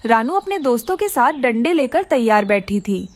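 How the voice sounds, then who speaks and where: A woman's voice: on the high side, very animated, moderate pace, a little mellow, no room sound. A woman narrating a scripted children's story.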